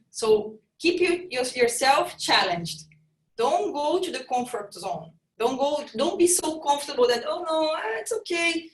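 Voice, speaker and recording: A woman with a Brazilian Portuguese accent coaches earnestly, her higher voice breaking into restarts as she searches for words. She sounds set back from her microphone in an echoey room, as on a video call. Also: far from the mic. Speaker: fitness trainer, guest. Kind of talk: casual video call interview.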